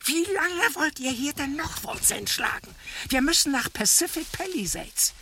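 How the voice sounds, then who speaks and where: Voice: high-pitched, expressive, moderate pace, pushed and bright, a little hiss or hum, full character voice. An actor playing a boastful, impatient oddball character in a mystery audio drama. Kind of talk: German audio drama acting.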